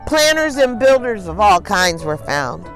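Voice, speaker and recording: A woman storyteller reads a picture book aloud to children, expressively, in deliberate phrases with dramatic pauses. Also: mid-range voice, big pitch swings, soft background music.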